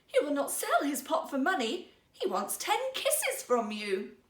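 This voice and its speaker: A woman's voice: high-pitched, huge pitch swings, unhurried, echoey room, pitched above the performer's own voice, heavily acted. A woman voicing a timid, flustered young maid of honour in a storybook, hesitant and scandalised. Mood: scandalised, tearful.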